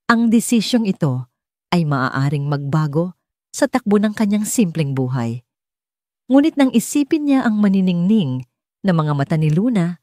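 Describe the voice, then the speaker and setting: A woman's voice: fairly low pitch, dark tone, no room sound, studio quality. A woman with a Filipino accent reading a scripted romance story as an audiobook narrator.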